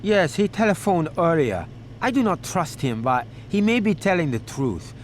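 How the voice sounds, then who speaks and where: An actor playing a menacing, imperious gang boss with a sneering manner in a video game, over loud noise. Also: male voice, fairly high pitch, sing-song, normal speed. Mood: wary.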